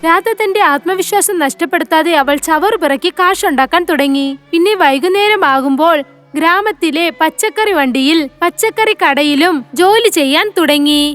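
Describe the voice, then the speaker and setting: Very high-pitched woman storyteller. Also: normal speed, fairly bright tone, faint music behind. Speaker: narrator. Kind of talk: scripted children's story narration.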